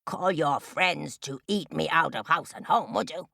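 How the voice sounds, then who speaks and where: A woman voicing an angry, bossy male crow in a children's story, rasping and scolding with menace. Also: deep voice, unhurried, bright, forceful tone, no echo, clean studio sound, heavily acted. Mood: menacing. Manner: cawing, scolding, threatening.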